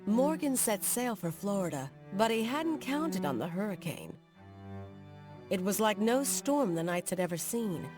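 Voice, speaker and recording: Grave dramatic game narration, lively intonation, strings music up behind her. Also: medium pitch, a little slow. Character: solemn female storyteller narrating an adventure.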